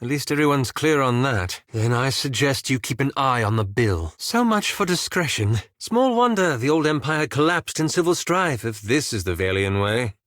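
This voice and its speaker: A man performs a scholarly, fastidious male wizard for a fantasy video game. His formal, understated sarcasm moves through a lively range of pitch, around an average male register, in a very dry, close recording. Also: no room sound, natural voice. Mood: wry, cautious. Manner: formal, wry, understated phrasing. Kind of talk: scripted video game character dialogue.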